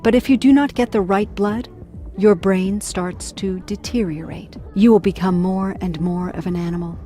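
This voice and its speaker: An actress playing a cool, assured female vampire mentor in a video game, speaking in a measured, slightly formal way. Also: mid-range voice, fairly even intonation, warm tone, soft background music, close to an everyday voice. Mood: composed, instructive. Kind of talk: video game cutscene acting.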